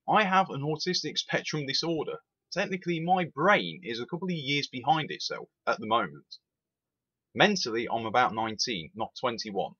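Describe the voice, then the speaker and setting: A man's voice: on the high side, husky, lo-fi sound. A man, giving an opinionated, emphatic rant as a rail sim reviewer. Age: 20s. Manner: animated, emphatic, repeats itself.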